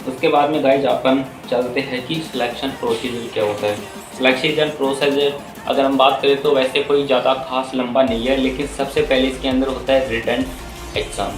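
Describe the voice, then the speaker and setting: Male explainer host, mid-pitched, informal and instructional, roomy echo with music behind. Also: flat delivery, normal speed. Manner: instructional, Hindi mixed with many English terms. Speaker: video host explaining a career path. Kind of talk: informal explainer addressing viewers.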